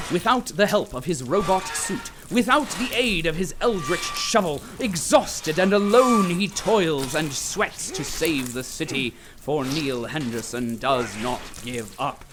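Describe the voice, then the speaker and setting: A man narrating an audio drama as a solemn, grandiose chronicler of a dystopian city, emphatic and portentous. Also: on the high side. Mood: rousing.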